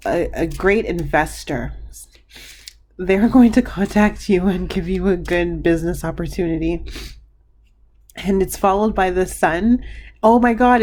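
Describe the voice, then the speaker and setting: A woman reads tarot cards aloud in a loose, rambling manner, circling back and restarting her sentences. Her voice sits a little low and has a slight hoarseness, and a faint ambient hum runs underneath. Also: a little mellow. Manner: chatty, meandering, many fillers and restarts. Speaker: tarot card reader. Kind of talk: pick-a-card tarot reading.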